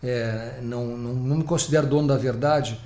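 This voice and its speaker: A man's voice: medium pitch, fairly even intonation, fairly quick, mellow, roomy sound. A man hosting a radio interview, with a friendly way of talking. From Brazil. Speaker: radio host.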